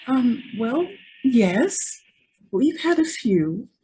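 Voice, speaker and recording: A woman's voice: fairly high pitch, very animated, drawn-out words, warm tone, slight background noise, heavily acted, poor audio. A woman playing a spoiled, naively callous young heiress in an old-time radio style drama, girlish and breezy in manner. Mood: coy, airy. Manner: breezy, girlish, petulant when crossed.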